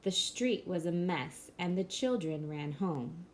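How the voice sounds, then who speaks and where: Woman narrator, children's picture book read-aloud, slow and gentle, faint room noise. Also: lowish voice, lively intonation. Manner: slow, gentle storybook reading. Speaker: storyteller.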